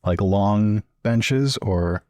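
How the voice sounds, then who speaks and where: A man's voice: on the low side, drawn-out words, a little mellow, no room sound, clean studio sound. A man playing a tabletop roleplaying game, moving casually between in-character talk and narrating what his character does.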